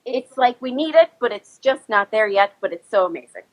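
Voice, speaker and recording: A woman's voice: on the high side, expressive, fairly quick. Sniffly woman hosting a live video chat. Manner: chatty, many ums and restarts. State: slight cold, sniffly. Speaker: host of an Instagram live chat. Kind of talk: casual live-stream interview. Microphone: phone or laptop, video call.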